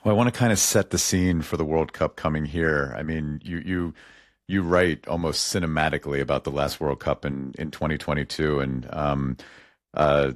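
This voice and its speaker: Very low-voiced male interviewer. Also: fairly even intonation, normal speed, dry recording, studio quality. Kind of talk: scripted intro then interview questions.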